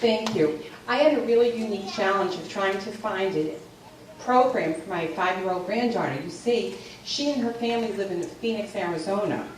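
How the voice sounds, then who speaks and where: A woman's voice: medium pitch, moderate pace, slight background noise. An older woman giving an articulate prepared testimonial speech as a grandmother. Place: large echoey hall. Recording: very echoey hall, far from mic.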